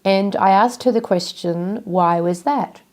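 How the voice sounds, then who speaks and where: A middle-aged woman hosting a solo podcast, sounding nasal from a cold and explaining in a measured way. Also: medium pitch. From Australia. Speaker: podcast host.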